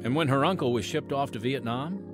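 A man's voice: mid-range voice, brisk, over loud music. An American man hosting a radio drama with scripted, earnest narration. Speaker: host and announcer.

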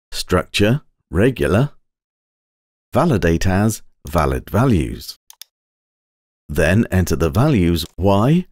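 Male tutorial narrator, low register, very varied intonation, precise scripted instructional read, dry sound. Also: a little mellow, no room sound. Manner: measured, precise step-by-step instruction. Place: close, dry voice-over recording.

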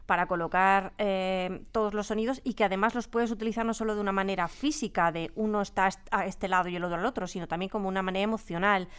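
Clear-voiced woman from a Spanish radio team. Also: medium pitch, fairly even intonation, fast, hardly any pauses, fairly bright tone. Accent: Spain. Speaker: radio fiction sound designer. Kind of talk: conversational interview answers. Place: radio studio.

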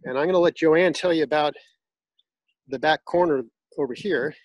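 A man with a fairly high voice walks a viewer through his backyard plants. He talks in a relaxed, informative way with small restarts. The sound is dry and close, recorded outdoors on a phone. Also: moderate pace, dry recording, muffled recording. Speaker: garden owner giving a tour. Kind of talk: casual guided tour.

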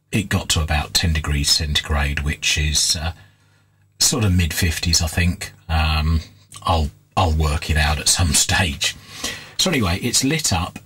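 Middle aged guy, really low husky voice, casually explaining stuff as he shows it. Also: a bit bright. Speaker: vlogger restoring vintage radios. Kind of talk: casual hands-on demonstration.

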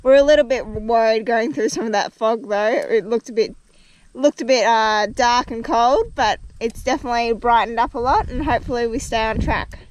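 A woman's voice: on the high side, normal speed. A young woman chatting upbeat while riding a motorbike on dirt trails, talking into a helmet mic. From Australia. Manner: chatty, upbeat, thinking aloud. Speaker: vlogger. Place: outdoors on a dirt bike trail. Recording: loud engine and wind noise. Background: motorbike engine and wind.

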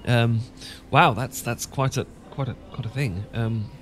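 A man's voice: medium pitch, unhurried, slight background noise, natural voice. A man with a British accent playing an awkward, sentimental English professor in a romantic ASMR roleplay, stammering and hesitant. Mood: awkward, nervous. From Britain. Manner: stammering, hesitant, full of false starts. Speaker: audio roleplay performer.

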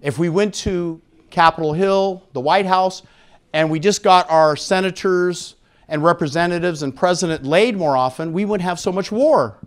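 Male conference speaker, middle-aged, slightly high-pitched, frank conversational delivery with a joking streak, on handheld mic. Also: moderate pace. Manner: jokey, candid, conversational. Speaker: conference speaker on sexual health. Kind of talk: live lecture to an audience, frank and informal. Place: indoor conference room with seated audience. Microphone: handheld microphone.

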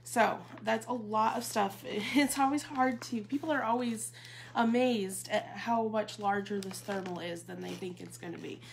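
A woman's voice: mid-range voice, normal speed, vocal fry. A woman in her thirties casually showing off a tote bag live from her living room, talking chattily with lots of ums. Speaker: bag consultant demonstrating products. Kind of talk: live product demo. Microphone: phone or webcam at table distance. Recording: noisy Facebook Live stream.

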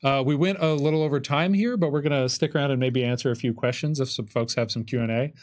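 A man in his thirties acting as game master, narrating a tabletop roleplaying game in a casual, halting way. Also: medium pitch, a little mellow. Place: indoor streaming studio with curtain backdrop. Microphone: desk microphone.

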